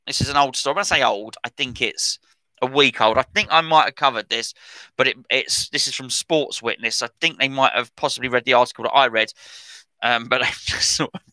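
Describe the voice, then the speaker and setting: A man's voice: medium pitch, brisk, bright, forceful tone, no echo, low-quality recording. A middle-aged man, rambling and correcting himself as he chats about football transfer news as a podcast host. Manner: chatty, rambling, self-correcting. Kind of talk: casual commentary on transfer news.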